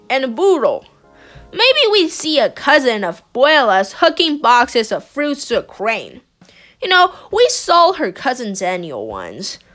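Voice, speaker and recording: A woman reading a picture book aloud in a gentle, expressive way. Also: fairly high pitch. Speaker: storybook reader.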